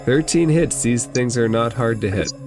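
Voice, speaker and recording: A man's voice: medium pitch, normal speed, music in the background. A man acting as game master, narrating a tabletop fantasy game in a casual, descriptive way.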